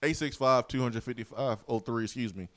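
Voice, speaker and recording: A man's voice: medium pitch, fairly quick, no echo. A man hosting a sports radio show, rambling and casual, his voice a little weak and strained. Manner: loose, rambling, many fillers. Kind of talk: casual radio talk and ad reads.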